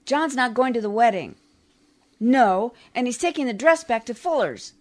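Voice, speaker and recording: A woman's voice: fairly high pitch, lively intonation, moderate pace, close to an everyday voice. A woman playing a pleading, flustered housewife in a 1940s-style radio comedy, plaintive and melodramatic.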